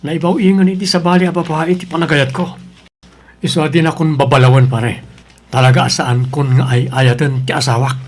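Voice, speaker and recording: Stubborn Ilocano son played by a man, low-key drama. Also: level tone, a little fast, lightly acted. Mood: firm, cold. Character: stubborn adult son, cold husband leaving his pregnant wife. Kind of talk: Ilocano audio drama acting.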